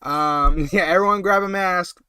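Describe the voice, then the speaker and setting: A man's voice: high-pitched, a little slow, sharp tone, clean tone, no echo. A man hosting a casual late-night live stream while he has a cold. He rambles and chats, with lots of ums and small laughs. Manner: rambling, chatty, full of ums and little laughs.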